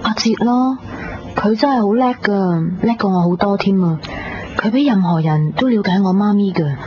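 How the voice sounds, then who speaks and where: A woman's voice: mid-range voice, normal speed, background noise, lightly acted. Actress as lovesick young Hong Kong woman, muffled radio. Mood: downcast. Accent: Hong Kong Cantonese. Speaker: radio drama actress and narrator. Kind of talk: 1990s radio drama dialogue and narration. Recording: dull 1990s radio recording, music bed.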